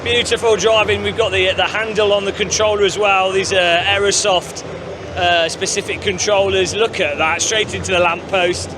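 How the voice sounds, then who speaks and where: A man's voice: sits high, steady tone, moderate pace, noisy background. A male gaming channel host chatting casually and upbeat, with lots of fillers, on a walkthrough of a busy gaming convention floor.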